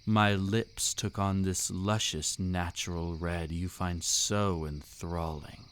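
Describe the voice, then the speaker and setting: A man's voice: deep voice, steady tone, slow pace, smooth. A man playing a seductive, commanding vampire count in an intimate audio roleplay, suave, teasing and theatrically formal. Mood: smug, seductive. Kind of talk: intimate roleplay audio drama, scripted monologue.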